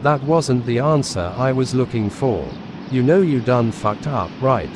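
A man's voice: mid-range voice, animated, unhurried, over music. A man doing a mock-aggressive, profane comedic skit in a bullying manner. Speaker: video channel host. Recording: clear street and vehicle noise.

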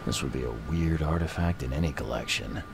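An actor playing a grim, world-weary private detective in a horror video game, gravelly, terse and brooding. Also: male voice, rumbling low, a little fast, heavy background noise.

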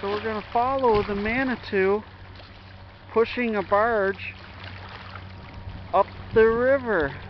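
A man's voice: high voice, dull audio, a little hiss or hum. A man hosting his own video, narrating casually outdoors by a river while filming with a handheld camera. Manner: slow, friendly, filming while walking. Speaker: channel host. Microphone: handheld camera mic.

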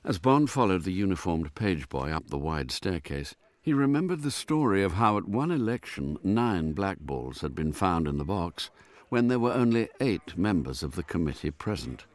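An actor as a measured, literary narrator telling a spy story in a scripted radio drama. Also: male voice, sits low, sing-song, dry recording.

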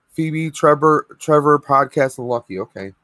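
A man in his thirties hosting a casual live stream from home, a bit hoarse and clearing his throat, talking on a video call in a rambling way. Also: fairly high pitch, big pitch swings, slow, a bit bright, dry recording. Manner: chatty, rambling with fillers. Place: home room with collectibles, indoors. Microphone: webcam video call.

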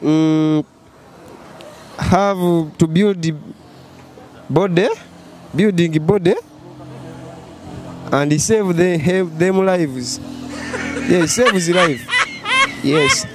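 A man's voice: sits high, drawn-out words, long pauses. A young man answering street quiz questions, hesitant and searching for words, into the host's handheld mic. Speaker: passer-by interviewee. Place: busy street outdoors. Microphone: handheld mic held by host. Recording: street traffic noise behind.

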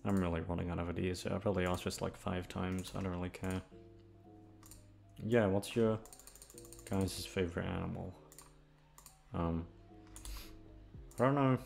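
A young man with a low voice and plenty of vocal fry rambles casually to his listeners. He is halting, profane and self-deprecating, as if unsure what to say next. Faint music plays behind him. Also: steady tone, darkish tone. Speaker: audio voice actor, channel host. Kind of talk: rambling casual outro chat.

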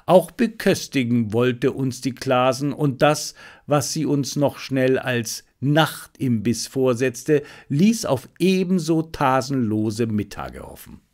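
Slow-paced male audiobook narrator. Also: medium pitch, lively intonation, a little mellow. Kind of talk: scripted narration of a detective story.

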